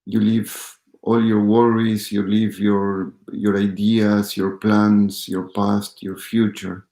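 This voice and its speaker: A man leading a live guided meditation as a teacher, speaking with pauses and gentle repetition. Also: on the low side, level tone. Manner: slow, pausing, gentle repetition. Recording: roomy echo.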